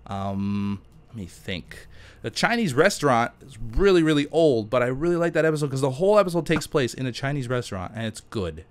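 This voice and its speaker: A man's voice: mid-range voice, lively intonation, a little fast. A man giving casual, chatty let's play commentary in an informal, self-deprecating and jokey way. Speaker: gaming YouTuber host.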